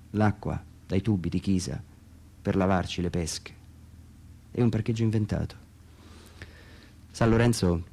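A man reads his own literary monologue for radio theatre in a measured, reflective way, pausing between short phrases. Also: lowish voice, darkish tone. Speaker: author reading own text.

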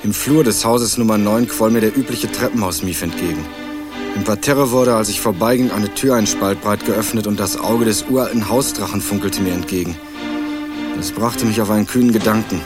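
A man's voice: on the low side, fairly quick, natural voice. A male actor playing a young student sleuth, narrating a crime radio play in a dry, laconic, sardonic manner over music. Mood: determined, wry. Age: young adult. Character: young male student turned amateur sleuth, wry, hard-boiled narrator.

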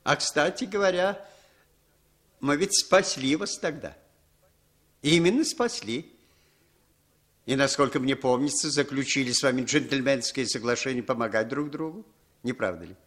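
An actor playing a smooth, condescending Western intelligence handler in a 1960s Soviet radio play, wheedling and prodding as he talks. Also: medium pitch, normal speed, long pauses, a bit bright, natural voice. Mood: smooth, insinuating. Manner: ingratiating, insistent, tags questions with prodding appeals.

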